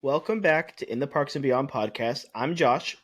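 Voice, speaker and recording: A man's voice: mid-range voice, moderate pace, fairly bright tone, a little hiss or hum. Blunt, opinionated podcast guy. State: recovering from a cold. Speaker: podcast co-host. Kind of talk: casual chat.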